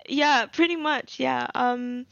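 A woman's voice: high-pitched, steady tone, slow, gravelly, fairly bright tone, no room sound. A young woman from Egypt talking earnestly in a casual podcast chat, in long run-on thoughts. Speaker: guest. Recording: dull lo-fi dry sound.

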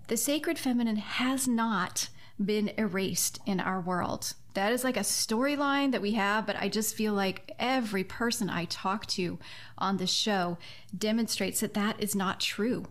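A woman's voice: medium pitch, unhurried. A woman hosting a podcast in a conversational style, speaking earnestly and effusively. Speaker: podcast host and author.

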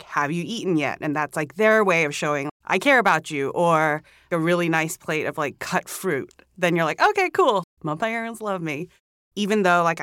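A woman's voice: lowish voice, clean tone, dry recording, studio quality. A young woman from Hong Kong, a podcast guest chatting casually with lots of "like" fillers. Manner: relaxed, chatty, many "like" fillers. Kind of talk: casual interview chat. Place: recording studio.

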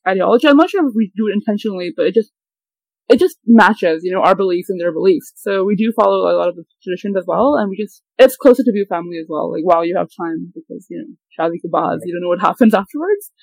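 Young Hong Kong woman, interview guest, rapid chatty talk over a dry call line. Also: medium pitch, creaky, a little mellow, no room sound, poor audio. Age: mid-twenties. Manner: quick, chatty, lots of fillers and self-deprecating laughs. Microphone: video call.

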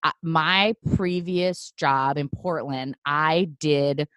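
A woman's voice: low-pitched, takes it slowly, fairly bright tone, smooth, no room sound. A woman hosting a casual chat in a thoughtful, wry way with many restarts, over a video call.

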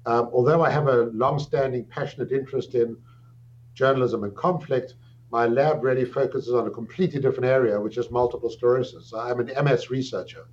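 A middle-aged man with a South African accent, a psychiatrist answering an interview question with measured, thoughtful speech and frequent pauses and restarts. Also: medium pitch, fairly even intonation, darkish tone. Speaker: guest, psychiatrist. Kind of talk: interview answer, recounting background. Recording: dull lo-fi audio with faint hum.

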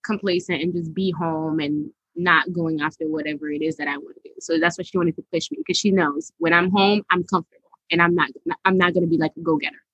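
A soft-spoken woman co-hosting a podcast, talking in a laid-back, casual way with a breathy voice. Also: lowish voice, fairly even intonation, fast talker, dry recording, highs cut off. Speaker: podcast co-host. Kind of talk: casual chat.

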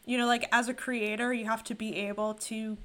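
A woman co-hosting a podcast in casual conversation, reflecting and analyzing. Her voice sits a little high. She comes across as agreeable and thoughtful. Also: flat delivery, a little fast, a bit bright. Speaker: podcast co-host. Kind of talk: casual chat.

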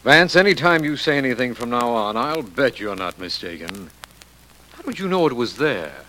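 An earnest district attorney from a vintage radio detective play. The voice sits at a medium pitch and moves with lively inflection, in a formal storyteller's manner. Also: male voice, normal speed, slight background noise, low-quality recording. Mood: curious. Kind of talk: 1940s-50s radio drama acting.

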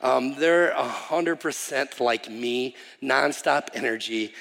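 A man in his thirties from Wisconsin speaks to a congregation as a visiting missionary, chatty and self-deprecating, often restarting with an um. His mid-pitched voice carries a gravelly edge. The sound rings with the long reverb of a church hall. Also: slow, a bit bright. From Wisconsin, USA. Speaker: missionary guest preacher. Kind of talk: casual sermon introduction. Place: church sanctuary.

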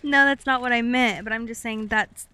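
A woman's voice: fairly high pitch, fairly even intonation, moderate pace, bright, forceful tone, smooth. A young adult woman co-hosting a podcast, chatting informally as she reads out and discusses news, often hedging as she goes. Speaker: podcast co-host. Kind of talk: casual chat, reading out and discussing news.

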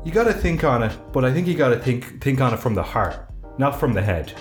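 A deep-voiced man co-hosting a relaxed podcast, talking at an ordinary pitch with a dry, deadpan way of joking. Faint music plays under his voice. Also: a little fast. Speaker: podcast co-host reading listener emails. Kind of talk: casual chat.

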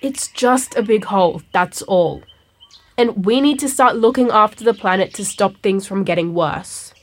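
A woman's voice: medium pitch, moderate pace, natural voice. An actress playing an exasperated schoolgirl granddaughter in a comic audio drama. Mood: fed up. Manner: exasperated, sighing.

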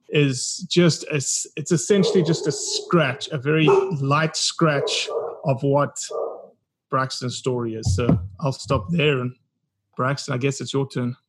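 A man's voice: on the high side, poor audio. A man in his forties from South Africa with a South African accent, telling his story earnestly as a podcast guest. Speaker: podcast guest, documentary founder. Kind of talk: casual interview talk.

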